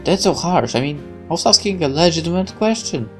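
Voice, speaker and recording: A woman's voice: on the low side, animated, fairly quick, a little mellow, soft background music, pitched above the performer's own voice, lightly acted. A woman voicing a naive, idealistic young male soldier, earnest and questioning, in a visual novel game. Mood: hurt, earnest.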